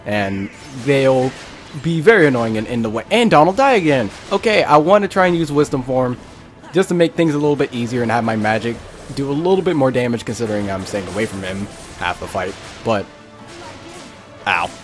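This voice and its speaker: A man giving casual, halting live game commentary, breaking off to exclaim at the game. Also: medium pitch, lively intonation, faint music behind. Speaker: gaming video creator.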